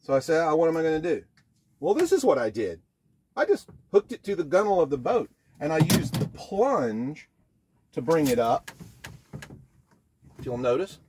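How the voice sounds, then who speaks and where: A man in his sixties casually demonstrating boat motors, talking in a lively, rambling way and correcting himself, recorded outdoors on a small boat by a mounted camera. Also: on the high side, moderate pace, long pauses. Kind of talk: casual product demo. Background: motor and water noise.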